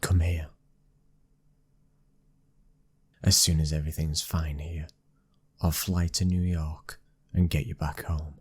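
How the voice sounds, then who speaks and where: A British man in an ASMR boyfriend roleplay, playing a commanding, protective young mafia boss's son with a low, husky, controlled voice. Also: little pitch movement, normal speed, darkish tone. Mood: tender.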